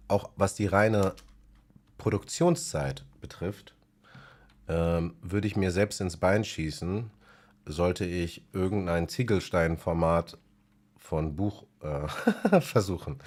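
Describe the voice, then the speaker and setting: A man's voice: low-pitched, darkish tone. A man chatting casually in his native German on his music channel, thinking aloud with many filler sounds. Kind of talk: casual spoken vlog.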